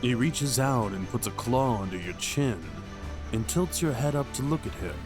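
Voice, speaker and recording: A man's voice: mid-range voice, expressive, normal speed, music in the background. A man reading scripted story narration for an ASMR fantasy roleplay.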